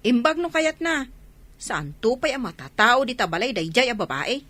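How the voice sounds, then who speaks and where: A woman's voice: on the high side, very animated, normal speed, a bit bright, slight background noise. A woman acting a nagging village wife who worries about her family in a radio drama. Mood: complaining.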